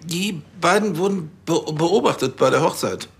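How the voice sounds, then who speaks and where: A man's voice: fairly high pitch, expressive, normal speed, vocal fry, fairly bright tone, slightly rough, heavily acted. A man playing an awkward, flustered police officer in a TV crime comedy, stammering and hesitant. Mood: embarrassed.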